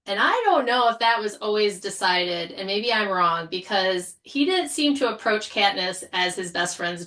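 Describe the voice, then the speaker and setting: A woman guest on a casual podcast panel, thinking aloud in an animated, informal way. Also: medium pitch. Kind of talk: casual chat.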